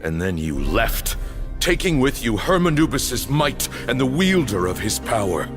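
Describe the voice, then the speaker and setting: An actor playing a stern, accusing old temple leader in a video game, with imperious, deliberate, theatrical delivery. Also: male voice, medium pitch, sing-song, over music. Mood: accusing, grave. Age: older. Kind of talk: dramatic video game voice acting.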